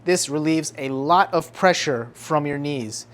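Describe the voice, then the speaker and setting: A man's voice: a little high, a little slow, fairly bright tone. A man from Central New Jersey with a New Jersey accent, chatting casually and upbeat to his viewers in a vlog. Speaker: vlogger.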